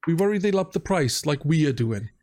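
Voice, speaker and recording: A man's voice: a little high, expressive, fairly quick, darkish tone. A man talking casually as a guest on a podcast about games.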